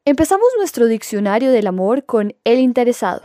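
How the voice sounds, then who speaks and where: A woman's voice: on the high side, big pitch swings, fairly quick, dark tone, no room sound, clean studio sound. A woman with a Colombian accent presenting a scripted radio segment.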